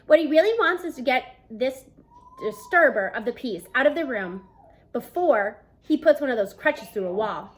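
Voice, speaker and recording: High, animated woman reading aloud. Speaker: read-aloud narrator. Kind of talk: expressive children's book reading. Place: small room at home, indoors.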